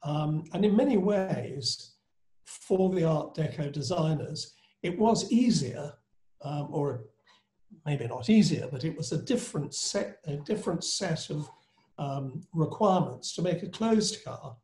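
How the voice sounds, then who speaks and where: A man on a video call, judging classic cars on a panel, talking in a measured, admiring way about fine detail. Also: a little high, expressive, darkish tone, roomy sound. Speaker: panel judge.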